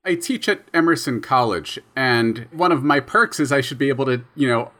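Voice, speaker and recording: A man's voice: mid-range voice, lively intonation, normal speed, bright, forceful tone. A young man co-hosting a casual podcast chat, explaining his sound design habits in a thoughtful, self-deprecating way. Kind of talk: casual conversation.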